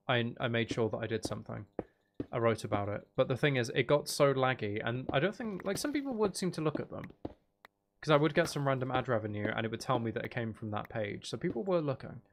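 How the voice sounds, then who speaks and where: A man's voice: mid-range voice, a little hiss or hum. A man streaming a game, chatting casually in a rambling, hesitant way as he thinks aloud. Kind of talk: casual chatty gaming livestream commentary.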